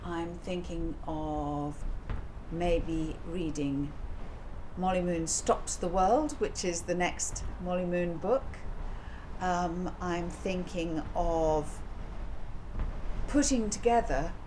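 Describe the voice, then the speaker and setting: A woman author chatting informally to her listeners in an unhurried, musing way, pausing often. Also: fairly low pitch. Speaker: author and reader of her own book, channel host. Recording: clear background noise.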